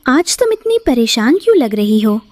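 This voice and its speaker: Kind, forgiving mother bird female actor, slightly high, dry recording. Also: sing-song, normal speed, darkish tone, dry recording. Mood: reassuring. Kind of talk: Hindi cartoon voice acting.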